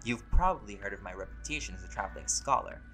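A man playing a laid-back, centuries-old vampire scholar in an audio roleplay, talking in a relaxed, conversational and wryly playful way. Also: on the low side, fast, rough recording. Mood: playfully proud. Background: music.

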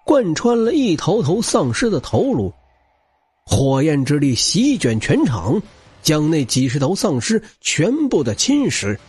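A man's voice: on the high side, darkish tone, husky, no echo. A man narrating action fiction as a scripted audiobook, with a dramatic, theatrical and intense delivery.

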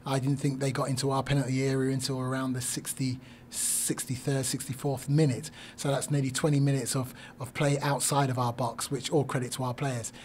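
A man, a head coach at a post-game press conference, speaking in a measured, thoughtful way with many fillers and restarts. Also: mid-range voice, flat delivery, darkish tone, a little hiss or hum. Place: small press room. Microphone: desk mic on stand.

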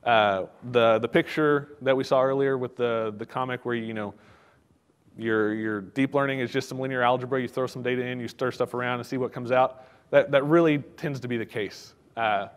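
A man giving a technical conference talk in a casual, halting way, with many fillers and restarts. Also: mid-range voice, fairly even intonation, smooth, in a large hall. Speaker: conference speaker. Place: conference hall.

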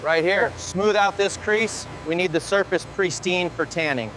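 A man's voice: high voice, measured pace, noisy background. A man directing factory work in scripted dialogue.

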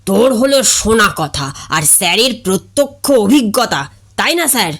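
A woman reading a storybook part as a nervous, flattering young man who talks hesitantly. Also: medium pitch, normal speed, pitched below the performer's own voice. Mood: pleading. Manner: hesitant, wheedling.

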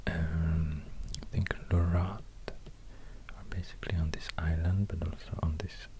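A man with a Swedish accent murmurs ASMR narration in a low, even near-whisper. His delivery is halting and musing, close to a binaural microphone, with noise and soft clicks audible. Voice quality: hushed, breathy near-whisper. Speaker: ASMR video creator. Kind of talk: soft-spoken ASMR narration while drawing.

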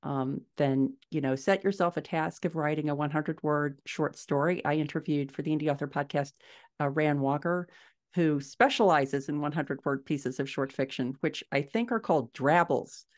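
Woman, middle-aged, low register. Author guest, explaining in a conversational interview style. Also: normal speed. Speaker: podcast guest, fiction author. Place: indoors at home, small furnished room. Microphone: video call.

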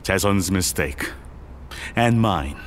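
A man playing a powerful, composed older businessman and father in an audio drama, speaking in a measured, formal way. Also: deep voice, lively intonation, slight background noise. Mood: stern, betrayed. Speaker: actor in a podcast drama.

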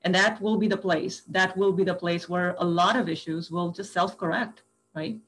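A woman's voice: fairly low pitch, fairly even intonation, moderate pace, fairly bright tone. A woman hosting a webinar on a video call, effusive, often adding "right?". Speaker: webinar host. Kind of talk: hosting remarks.

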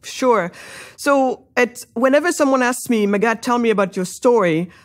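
A middle-aged woman from Senegal, an entrepreneur, telling her life story in an interview with lively, rising excitement and many fillers. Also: normal speed. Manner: animated, many fillers, rises high when excited. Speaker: guest entrepreneur.

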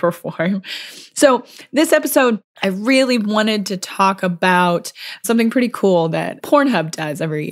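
A woman hosting a solo podcast, her voice a bit hoarse from a cold, talking chattily and laughing often. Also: medium pitch. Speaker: podcast host.

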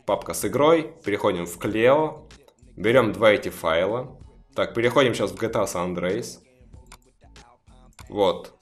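Chatty man walking through a mod install. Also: medium pitch. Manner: chatty, talks viewers through each step. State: slightly hoarse from a cough. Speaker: gaming video creator. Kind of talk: casual screen-recorded mod tutorial.

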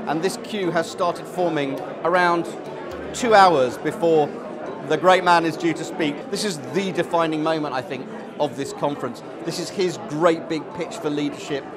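Middle-aged man, news reporter, measured, music bed behind. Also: on the high side, a crowd in the background. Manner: dry, measured analytical delivery. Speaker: political commentator and reporter. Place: busy conference venue indoors.

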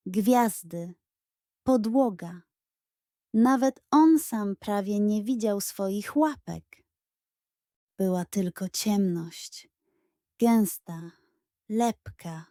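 A woman storyteller reading a scripted children's bedtime story in an expressive, dramatic and suspenseful manner. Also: mid-range voice, no room sound, clean studio sound.

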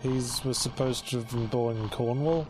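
A young man trading dry, deadpan quips during a game playthrough. His voice is mid-pitched and unhurried, and quiet game music plays behind him. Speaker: let's play co-commentator. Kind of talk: casual gaming banter.

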